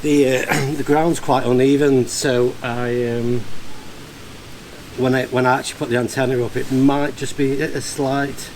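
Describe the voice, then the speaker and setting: Man, medium pitch, casual vlog narration, hesitant thinking aloud, noisy location recording. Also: level tone, measured pace, darkish tone. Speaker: amateur radio operator vlogging. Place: outdoors in the field and inside a barn. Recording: clear ambient background noise on location.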